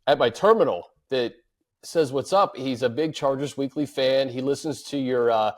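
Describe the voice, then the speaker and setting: A man's voice: mid-range voice, moderate pace. A man hosting a sports podcast, chatting in a casual, informal and upbeat way. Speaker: podcast host.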